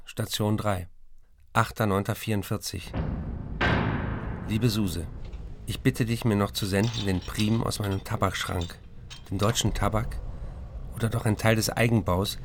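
A man's voice: fairly low pitch, background noise, close to an everyday voice. An actor playing an embittered, weary male writer who reads out his letters in a radio drama. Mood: plain, pleading.

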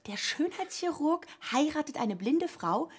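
An actress playing a curious, direct, excitable woman in a hospital waiting room in a radio play. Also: sits high, unhurried. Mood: excited. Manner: inquisitive, direct, eager. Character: curious, forthright, excitable woman chatting up a fellow patient. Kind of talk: naturalistic radio play dialogue.

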